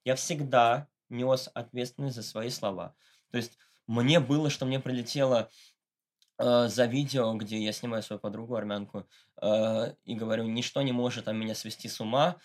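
A man's voice: medium pitch, steady tone, normal speed. A man giving a casual, slangy and ironic interview as a podcast guest. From Russia, Moscow. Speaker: interview guest, satirical blogger.

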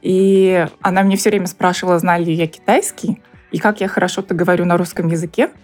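A woman's voice: lowish voice, steady tone, fairly quick, darkish tone, soft background music. A woman in her thirties from Buryatia in Russia, telling personal stories casually with a wry, informal manner. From Ulan-Ude, Buryatia, Russia. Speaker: podcast interviewee.

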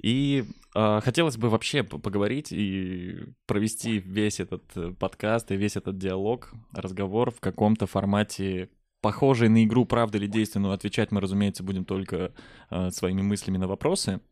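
A man hosting a casual podcast chat, phrasing his thoughts in a measured, hesitant way. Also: on the low side, steady tone, darkish tone, dry recording, clean studio sound. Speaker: podcast host.

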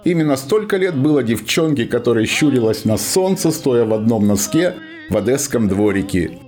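A man's voice: medium pitch, lively intonation, normal speed, a little mellow. A man reading scripted dramatic narration as the narrator of a radio play. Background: music.

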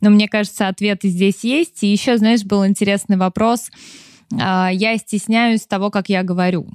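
A woman's voice: medium pitch, fairly even intonation, moderate pace, darkish tone, smooth, no room sound. A woman hosts an interview podcast, heard close and dry with no room sound. She sums up her guest's points and thinks aloud, with plenty of fillers. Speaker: podcast host. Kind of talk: interview conversation.

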